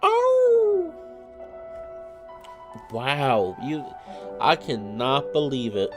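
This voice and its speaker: A man's voice: mid-range voice, wildly swooping pitch, drawn-out words, over music. Slightly sick male let's play host. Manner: rambling, apologetic, hesitant. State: a little sick. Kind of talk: casual let's play stream chat.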